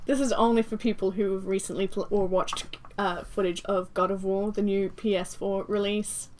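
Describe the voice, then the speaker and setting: A woman's voice: medium pitch, level tone, moderate pace. A woman on a book podcast panel, chatting casually and enthusiastically about a novel, often laughing.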